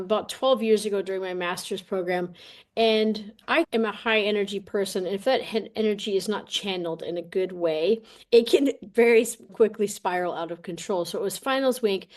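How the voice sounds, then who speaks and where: Podcast guest: woman, mid-pitched voice, animated and colloquial, chatty coach style. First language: English. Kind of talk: casual interview talk, personal storytelling.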